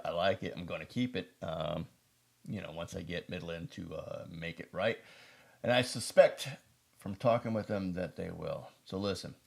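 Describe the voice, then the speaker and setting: Casual male gear reviewer, low-pitched with a creaky edge, folksy and unscripted. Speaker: product reviewer.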